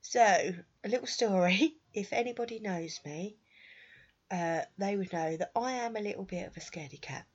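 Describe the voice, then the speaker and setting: A woman's voice: mid-range voice, normal speed, slightly rough. A woman hosting her own podcast while she has a cold, sounding nasal and a bit stuffy, talking in a chatty way and laughing at herself. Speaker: podcast host. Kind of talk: solo podcast talk. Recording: dull lo-fi sound.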